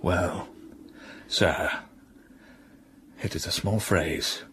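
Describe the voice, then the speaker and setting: Brooding gentleman telling his past in a radio play, slow and heavy, bit of hiss behind. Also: low voice, fairly even intonation, heavily acted. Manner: slow, brooding storytelling with sudden rises of passion. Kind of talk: radio drama acting.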